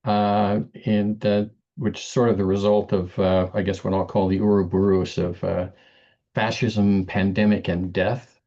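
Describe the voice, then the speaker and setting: Low, mellow man on a dull video call. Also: level tone. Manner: casual, filler-heavy chat before a deliberate reading. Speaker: open mic poet. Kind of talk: poetry reading at an online event.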